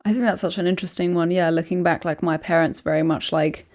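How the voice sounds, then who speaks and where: A woman hosting a podcast interview, asking reflective, measured questions. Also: fairly low pitch, fairly even intonation, a little mellow. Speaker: podcast host. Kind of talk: casual interview chat.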